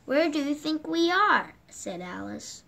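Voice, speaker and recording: A woman reading a children's picture book aloud at a gentle, unhurried pace, filmed indoors over the pages on a table, lifting her voice for the characters' lines. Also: high-pitched, big pitch swings, creaky. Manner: slow, gentle read-aloud pacing with lifted voices for quoted lines. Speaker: storybook reader. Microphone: phone or camera filming the book from above.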